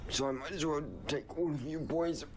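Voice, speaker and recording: A man's voice: on the high side, steady tone, moderate pace, a crowd in the background, natural voice. A man playing a daring, quippy time-travelling hero in a sci-fi audio drama, wry and flippant. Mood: strained resolve. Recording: loud music under the voice.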